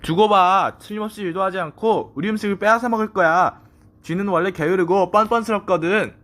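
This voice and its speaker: A man voicing a bossy, scornful duck in a children's storybook, complaining loudly and insistently. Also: sits high, fairly quick, pitched above the performer's own voice. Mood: indignant.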